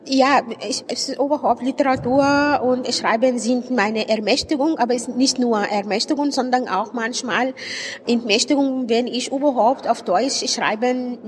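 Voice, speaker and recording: A woman's voice: a little high, moderate pace. A woman from Sudan, a native Arabic speaker with an Arabic accent, gives reflective interview answers in a searching, emphatic way. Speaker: interviewed writer and poet. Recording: reverberant hall, clear background noise.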